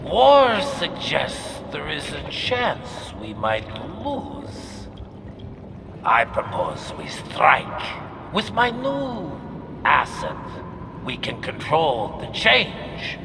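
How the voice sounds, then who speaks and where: An actor playing a grandiose, persuasive vampire lord in a video game cutscene, deliberate and theatrical, with a creaky edge. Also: male voice, a little high, wildly swooping pitch, exaggerated voice. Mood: scheming. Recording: long cavernous reverb, some noise.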